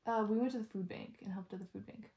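A woman's voice: medium pitch, fast talker, warm tone. Young American woman chatting, echoey room. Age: young adult. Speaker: guest friend telling stories. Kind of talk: casual storytelling chat. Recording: echoey room, clear background noise.

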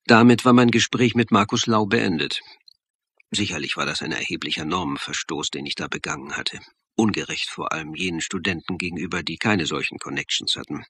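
An actor playing a shrewd, insistent college lecturer who narrates and acts in a German radio crime play, speaking deliberately and articulately. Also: male voice, low-pitched, bright, forceful tone, breathy edge, exaggerated voice. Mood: reflective narration. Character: male college lecturer turned amateur sleuth, shrewd, insistent. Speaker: narrator and lead character.

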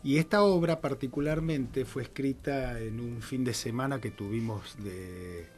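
A man's voice: medium pitch, a little slow, darkish tone. Older male director guest, Argentine accent, hesitant and thoughtful, studio mic, faint music. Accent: Argentine Spanish. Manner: thoughtful, many "este" fillers. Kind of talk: casual radio interview. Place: small radio studio. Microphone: studio mic with headphones.

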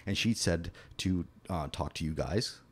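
A man's voice: deep voice, unhurried, husky. A man hosting a casual interview, chatty and loose, with lots of fillers and restarts.

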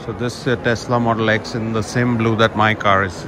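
A man giving relaxed, chatty commentary while walking through a large indoor shopping mall, filming on a handheld phone. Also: lowish voice, fairly quick, noisy background. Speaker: vlogger. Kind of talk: casual walking vlog narration. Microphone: handheld phone camera.

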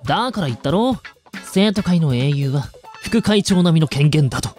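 A man's voice: a little high, expressive, moderate pace, a little mellow, faint music behind, close to an everyday voice. A man playing a stiff, pompous student council officer in an amateur voice drama, speaking in formal, old-fashioned phrases. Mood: scheming.

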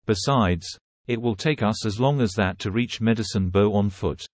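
A man's voice: fairly low pitch, moderate pace, darkish tone, no echo, pitched below the performer's own voice, close to an everyday voice. A man reading aloud, voicing a stern male train conductor who refuses firmly.

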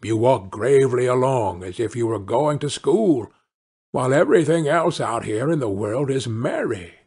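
A man's voice: medium pitch, lively intonation, normal speed, a little mellow, breathy edge. A man voicing a sly, hungry male wolf in a fairy tale, coaxing and crafty as he draws out his words.

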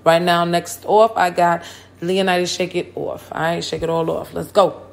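A hoarse woman hosting a hip hop and politics podcast, talking casually and full of slang and hype. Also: on the low side, flat delivery, moderate pace. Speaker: podcast host. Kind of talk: casual chat.

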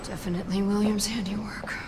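An actress playing a cold, defiant female scientist in a video game, terse, hurried and contemptuous. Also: medium pitch, flat delivery, heavy background noise, close to an everyday voice. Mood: grim, hurried. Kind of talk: video game cutscene acting.

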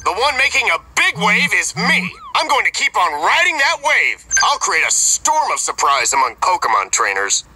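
Raspy, bold trainer. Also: male voice, high-pitched, wildly swooping pitch, normal speed, few pauses, bright, forceful tone, exaggerated voice. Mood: cocky. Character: bold, boastful male battle trainer. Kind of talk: video game character voice acting.

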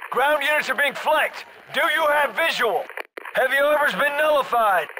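An actor playing a gruff, commanding middle-aged special forces soldier in a military shooter game, giving clipped, urgent orders. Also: male voice, very high-pitched, lively intonation, moderate pace, noisy background.

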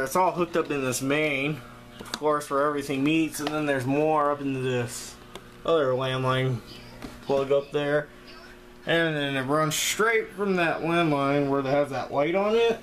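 A man giving an informal hands-on demonstration of a homemade gadget, speaking from behind a handheld camera in a small bedroom. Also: on the high side. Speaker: video creator showing a homemade gadget. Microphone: handheld camera, operator behind it. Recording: noisy handheld camera with background hum.

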